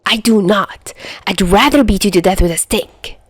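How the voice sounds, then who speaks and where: A woman's voice: medium pitch, normal speed, no room sound, studio quality, lightly acted. Woman doing a grumpy, curt young guy. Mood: annoyed. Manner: curt, irritable. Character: grumpy, easily annoyed young mafia man. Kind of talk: amateur voice acting in fan fiction reading.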